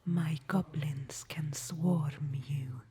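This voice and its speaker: A woman's voice: sits low, steady tone, very slow, dark tone. A woman whispering a second role, a hostile goblin leader guarding a gate, in short, curt lines. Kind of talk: ASMR audio roleplay.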